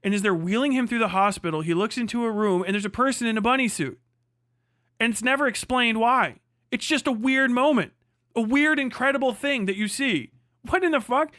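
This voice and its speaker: A man with a notably high voice delivers unscripted livestream commentary. He is opinionated and emphatic, swearing freely and repeating himself to hammer points home. The sound is close and very dry, with no room echo. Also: fairly quick, bright, forceful tone. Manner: profane, emphatic, repetitive. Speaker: livestream host.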